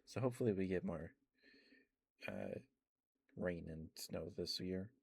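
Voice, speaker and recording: A man chatting in a soft, rambling ASMR style, close to the mic, with many fillers and small laughs. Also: low-pitched, huge pitch swings, measured pace, creaky, husky, dry recording. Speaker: ASMR streamer.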